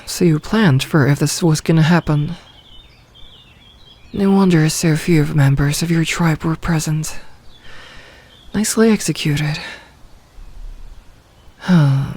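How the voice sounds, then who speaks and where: A woman playing a possessive, commanding female werewolf pack leader in an ASMR roleplay, speaking slowly and with authority. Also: low-pitched, mellow. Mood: composed, pleased.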